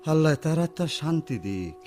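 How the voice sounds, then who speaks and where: A male actor plays an older village father, humble and grateful, for radio drama. His Bangladeshi-accented voice runs a little high. The melody rises and falls widely. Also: lively intonation, brisk, a little mellow, soft background music, close to an everyday voice. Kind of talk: Bengali radio drama acting.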